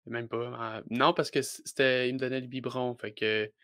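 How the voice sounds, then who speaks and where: Young Québécois man, flat halting speech. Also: mid-range voice, dry recording, studio quality. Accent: Québécois French. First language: French. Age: young adult. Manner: hesitant, many restarts and fillers. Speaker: wrestler guest. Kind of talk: casual podcast interview.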